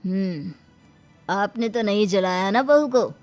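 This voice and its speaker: A woman's voice: medium pitch, slow, darkish tone, lightly acted. A woman voicing a stern, suspicious hospital doctor who admonishes people, in a cartoon moral story. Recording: music under the voice.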